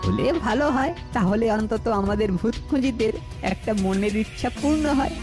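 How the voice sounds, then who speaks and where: A woman's voice: a little high, moderate pace, music in the background, lightly acted. A woman voicing a confident, bossy older boy who leads young ghost hunters in a cartoon, speaking expressively. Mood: excited. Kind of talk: cartoon dialogue.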